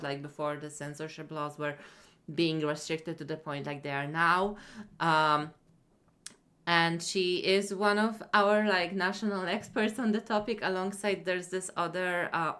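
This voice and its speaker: Low-voiced woman streamer. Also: a bit bright. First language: Polish. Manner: rambling, many fillers and restarts. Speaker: streamer reacting to a video. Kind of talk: casual reaction commentary.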